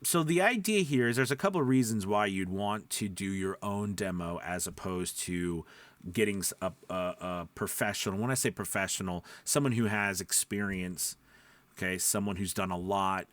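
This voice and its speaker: A man's voice: low-pitched, a bit bright, no echo. A man talking casually to camera as a coach and host, in a rambling, conversational way, often checking in with the listener. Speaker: voice-over coach hosting a video.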